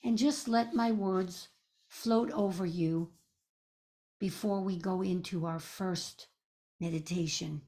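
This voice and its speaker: A woman leading a guided meditation as a teacher, speaking in a gentle, soothing, measured way with pauses. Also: lowish voice, fairly even intonation.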